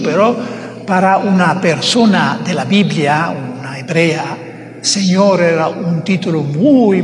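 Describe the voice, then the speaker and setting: A man preaching a sermon in Spanish, which is not his first language, speaking haltingly and searching for words. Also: high-pitched, big-room sound, a little hiss or hum.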